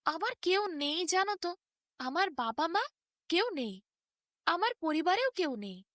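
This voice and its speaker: A woman's voice: extremely high pitch, very animated, unhurried, fairly bright tone, no room sound, clean studio sound, full character voice. A woman voicing a mild, lonely male merchant who has lost his memory, hesitant and bewildered, in a fairy tale. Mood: hesitant, wistful.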